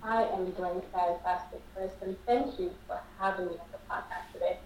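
A woman's voice: fairly low pitch, fairly even intonation, normal speed, a little mellow. Upbeat woman heard from afar, roomy. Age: 30s. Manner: upbeat, friendly, laughs easily. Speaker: guest. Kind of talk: casual interview. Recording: distant, roomy with faint noise.

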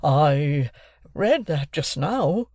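A man voicing a flustered, pompous Englishman who answers slowly and hesitantly in a novel reading. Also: on the high side, very animated, darkish tone, no room sound, clean studio sound, exaggerated voice. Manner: slow, hesitant, pleading. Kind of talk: classic novel narration, character voice.

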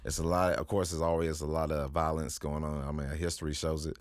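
A man's voice: a bass voice, level tone, brisk, no room sound. An American man hosting a casual podcast, agreeable and given to short affirming replies.

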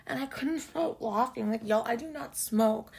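Raspy young woman chatting on a podcast. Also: moderate pace, darkish tone. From Canada. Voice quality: low raspy voice. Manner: chatty, swears freely, lots of "like" and "y'all". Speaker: podcast host. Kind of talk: casual solo podcast chat. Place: home room in Toronto.

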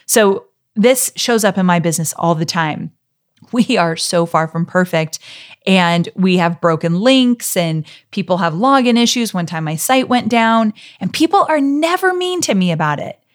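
A woman's voice: medium pitch, animated, moderate pace. A middle-aged woman hosting a solo podcast, talking straight to her listeners in an encouraging, friendly way. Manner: warm, encouraging, direct address to listeners. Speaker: podcast host. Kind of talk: solo podcast teaching talk.

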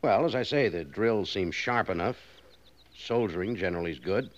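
A man playing an exacting but fair American cavalry major in a 1950s radio western, speaking with brisk, formal authority. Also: on the low side, expressive, lightly acted. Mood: businesslike. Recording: muffled, noisy old 1950s radio recording.

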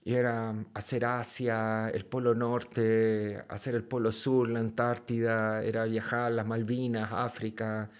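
A man from Chile with a Chilean accent, a podcast guest telling a story in a casual, lively way, full of slang and swearing. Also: fairly low pitch, a little slow, hardly any pauses, clear, resonant tone.

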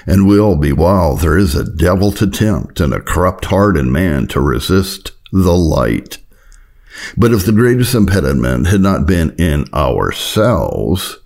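A man reading an old sermon aloud as an audiobook narrator, in a solemn, earnest, measured and formal way. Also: a bass voice, creaky, a little mellow. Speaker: audiobook narrator of Puritan writings.

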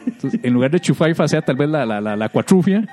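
A man's voice: on the high side, fairly quick, soft background music. A Costa Rican man hosting a comedy podcast, telling stories casually with slang, swearing and playful exaggeration. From Costa Rica. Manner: animated, exaggerating, acts out reactions. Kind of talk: casual comic storytelling and banter.

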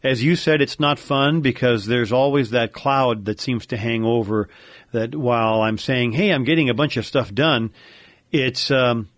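A man's voice: medium pitch, a little slow, a bit bright, dry recording. Middle-aged American man, dry studio sound. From United States. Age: 50s. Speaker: radio show co-host. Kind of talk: conversational talk show chat. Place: studio at home.

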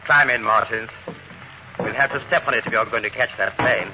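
A man playing a brisk, no-nonsense officer driving a car in an old radio drama. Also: medium pitch, gravelly, natural voice. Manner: clipped and no-nonsense. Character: brisk, impatient middle-aged police officer. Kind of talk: 1940s-50s radio drama acting. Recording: dull old radio broadcast with engine noise.

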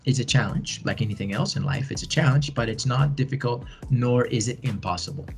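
A man's voice: medium pitch, brisk, creaky, faint music behind. A man in his forties, a bilingual educator answering interview questions in an earnest, encouraging way and often repeating phrases for emphasis. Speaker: podcast guest, bilingual educator.

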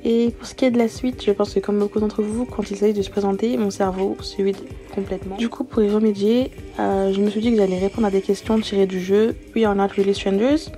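A woman's voice: medium pitch, fairly even intonation, fairly quick, few pauses, warm tone, soft background music. A woman in her early twenties, not a native French speaker, hosting a casual solo podcast in an informal, slangy way with some English words mixed in. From born outside France, moved to France as a young child. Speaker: podcast host. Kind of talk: casual solo podcast, answering self-introduction questions.

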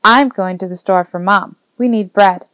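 A woman voicing an eager, proud nine-year-old boy in an audiobook, earnest and excitable. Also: mid-range voice, moderate pace, no room sound, lightly acted. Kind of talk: audiobook character dialogue.

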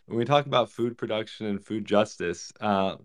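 A man from Virginia with a somewhat low voice speaks on a panel. His talk is relaxed and self-deprecating. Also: normal speed.

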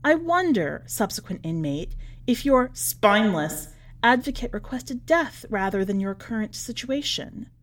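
A woman's voice: a little high, animated, a little slow. An imprisoned letter writer, played by a woman narrator, genteel and over-polite with a brittle, ironic cheer. The voice swoops through ornate sentences in a dramatic story reading.

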